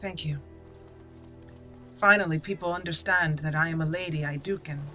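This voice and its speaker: A proud young noblewoman acted out by a female gamer, formal and haughty in a fantasy roleplay. The voice is bright and a little low, with faint music behind. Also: fairly even intonation, normal speed.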